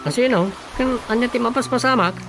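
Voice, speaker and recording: A man's voice: very high voice, fast talker. A man acting a flustered, gossipy village councilman in an Ilocano radio comedy-drama, exclaiming and hesitating conversationally. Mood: surprised. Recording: music bed under the dialogue. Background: background music.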